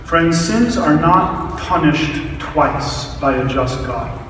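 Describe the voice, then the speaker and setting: A man preaches in a slow, deliberate, declarative way. His voice sits slightly high. It carries a long hall reverb heard from a distance. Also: animated, a bit bright, soft background music. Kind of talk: sermon.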